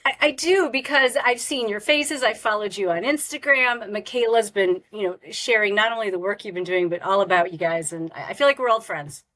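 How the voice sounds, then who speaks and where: A woman's voice: mid-range voice, brisk, hardly any pauses, fairly bright tone. A woman hosting a podcast over a video call, chatting playfully and rambling a little. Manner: playful, self-deprecating, rambling. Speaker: podcast host. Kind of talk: casual interview chat. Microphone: remote video call.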